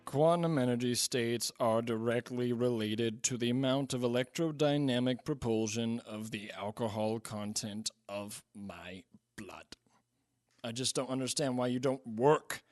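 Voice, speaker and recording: A man's voice: mid-range voice, unhurried, darkish tone, dry recording, soft background music, natural voice. Man acting a ranting, hapless scientist, dry sound. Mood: drunken, despondent. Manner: exasperated, incredulous ranting. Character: hapless, drunken, ranting male scientist-inventor. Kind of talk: audio drama acting.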